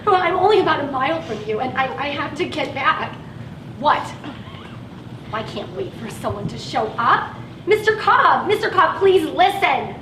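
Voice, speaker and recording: A woman's voice: high-pitched, big pitch swings, moderate pace, distant mic. An actress playing an overwhelmed woman driving a van of patients in a stage play, pleading and stammering in a frazzled way. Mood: anxious, pleading. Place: theatre stage, picked up at a distance. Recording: roomy, noisy stage recording from afar.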